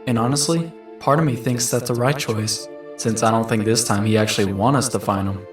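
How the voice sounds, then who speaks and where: A man voices a thoughtful teenage mutant turtle from a comic, musing in an earnest, lonely monologue. His mid-pitched voice sounds echoey and set back from the mic, with music clearly playing behind. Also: brisk, natural voice. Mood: wistful. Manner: earnest, reflective. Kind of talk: introspective scripted monologue. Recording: roomy, distant mic under loud music.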